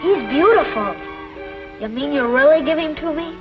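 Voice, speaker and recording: High-voiced polite little boy acted. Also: expressive, normal speed. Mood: delighted, polite. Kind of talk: 1940s western film acting. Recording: dull old soundtrack with music behind.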